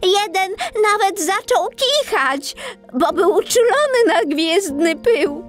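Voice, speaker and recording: A woman voicing a cheerful, kindly little star girl in a children's bedtime story, with a sing-song, expressive, childlike delivery. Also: extremely high pitch, slow pace, soft background music, pitched above the performer's own voice, full character voice. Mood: chatty, amused.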